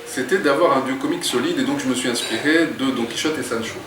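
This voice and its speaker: A man's voice: mid-range voice, fast, noisy background. A man, an author, talking in an interview and reading from his book, thoughtful and a little hesitant. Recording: roomy echo, distant mic.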